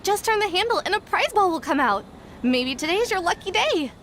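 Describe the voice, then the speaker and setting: A woman's voice: very high-pitched, sing-song, a little fast, fairly bright tone, slight background noise, exaggerated voice. An actress playing a courteous, cheerful young prize attendant in a video game, formal in her customer service. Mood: cheerful, congratulatory.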